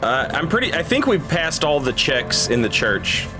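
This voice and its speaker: A man's voice: fairly high pitch, big pitch swings, normal speed, low-quality recording. A man streaming a game with casual commentary, thinking aloud in an informal way. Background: game music.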